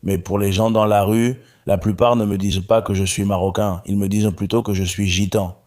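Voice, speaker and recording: Calm low-voiced Moroccan man. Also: brisk. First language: Arabic. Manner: calm, repeats himself. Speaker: guest. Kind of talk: interview conversation.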